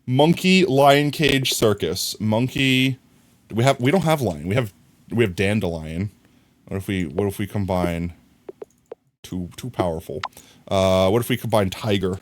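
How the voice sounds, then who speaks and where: A man streaming a crafting game live, talking to his chat and thinking out loud, with sudden excited high yelps. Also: measured pace, slight background noise.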